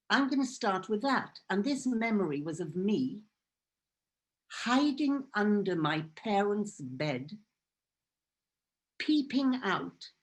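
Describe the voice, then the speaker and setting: A woman from Israel whose first language is Hebrew, giving an earnest, emphatic personal talk as a guest speaker. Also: medium pitch. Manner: earnest and emphatic, repeating phrases for force.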